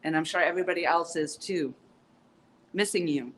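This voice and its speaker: A woman's voice: low-pitched, moderate pace, a little hiss or hum. Chatty stuffy-nosed woman streaming live. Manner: chatty, upbeat, greets viewers by name, many ums. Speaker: live-stream tour host. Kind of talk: casual live-stream chat. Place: outdoor castle courtyard.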